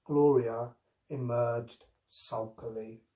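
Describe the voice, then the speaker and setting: Slow-paced male storyteller in a roomy space. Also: medium pitch, steady tone, mellow. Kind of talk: expressive scripted story narration. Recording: roomy, echoing sound.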